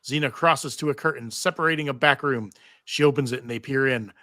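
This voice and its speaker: A man's voice: medium pitch, a little fast, pushed and bright, no room sound, studio quality. A man reading TV script stage directions aloud at a live table read, theatrical with wry asides. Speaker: table read narrator and panel host. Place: live convention panel.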